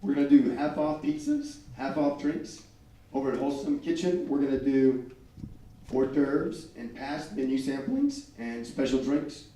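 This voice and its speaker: A man's voice: medium pitch, animated, unhurried, warm tone, slight background noise. A man presenting his new restaurants at a business meeting, losing his voice, upbeat and promotional. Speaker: restaurant representative. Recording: echoey room, far from mic.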